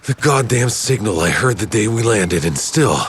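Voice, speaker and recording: A weary male veteran voiced by a man tells grim things in a low voice. He speaks heavily and darkly. Loud ambient noise runs under this game scene. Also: lively intonation, normal speed, close to an everyday voice. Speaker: game character. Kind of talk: video game cutscene acting.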